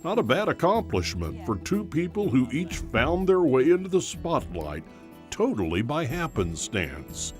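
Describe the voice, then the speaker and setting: Lively male feature narrator over music. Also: a little high, a little slow. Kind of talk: scripted narration.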